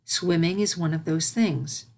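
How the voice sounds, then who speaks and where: A woman voicing a patient, gentle royal pony princess who teaches and consoles her pupil in a storybook reading. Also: on the low side, vocal fry. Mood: firm, instructive. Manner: measured, instructive, consoling.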